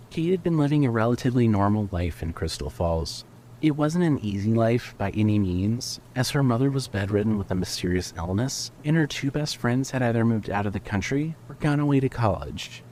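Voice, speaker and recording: A man narrates prose fiction from a script in a mid-pitched voice. He reads as a storyteller for an audio fiction podcast. Some noise sits faintly behind his voice. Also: expressive, a little fast, darkish tone, rough recording.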